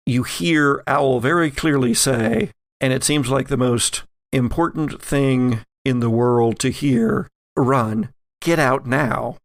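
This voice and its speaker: Slow, creaky-voiced man narrating horror. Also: mid-range voice, no room sound, studio quality. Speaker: game master. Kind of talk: improvised horror narration for a tabletop game.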